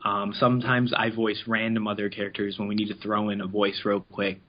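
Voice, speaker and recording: A man's voice: on the low side, little pitch movement, brisk, muffled recording. A man chatting casually as an interview guest, telling anecdotes in a rambling, informal way and acting out what people said. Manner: rambling, informal, acts out quoted remarks. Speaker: interview guest, amateur voice actor in an abridged anime series.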